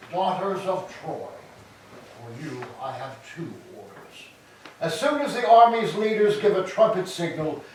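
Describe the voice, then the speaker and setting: Man playing commanding high-voiced army herald, echoey. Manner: commanding, declamatory. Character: commanding Greek army herald, brisk and stern. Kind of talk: staged reading of Greek tragedy. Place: church, live staged reading. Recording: echoey hall, distant mic, background noise.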